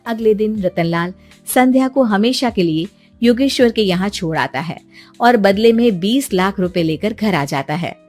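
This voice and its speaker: A woman's voice: mid-range voice, expressive, normal speed, a little mellow, soft background music. A woman reading scripted story narration as a storyteller for a cartoon tale.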